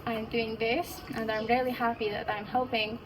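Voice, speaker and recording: Teen girl interviewee, hesitant English, set back from the mic with steady noise. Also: on the high side, normal speed. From Afghanistan. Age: teens. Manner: hesitant, many fillers and restarts. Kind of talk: casual interview answers. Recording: distant mic with clear background noise.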